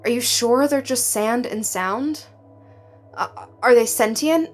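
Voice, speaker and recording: Idealistic female recruit, audio drama. Highish voice, emotional, indignant, halting. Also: slight background noise. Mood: anxious, awed. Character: horrified, defiant young woman, idealistic new department recruit.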